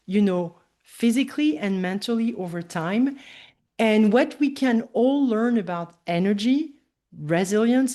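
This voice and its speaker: A woman hosting a podcast, reading a scripted introduction with a measured delivery before interviewing her guest. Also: a little high, a little mellow. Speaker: podcast host.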